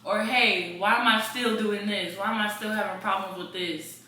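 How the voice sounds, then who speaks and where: Young woman, bright voice, very echoey room. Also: mid-range voice, fairly even intonation, normal speed. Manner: candid, repeats phrases for emphasis. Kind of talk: casual chat. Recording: roomy echo, distant mic, faint noise.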